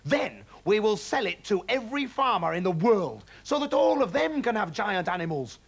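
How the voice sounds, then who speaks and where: A man voicing an excitable, scheming middle-aged farmer father who gushes about his plans. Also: high voice, moderate pace, gravelly, husky, pitched above the performer's own voice, exaggerated voice. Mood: overexcited. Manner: breathless, gushing enthusiasm.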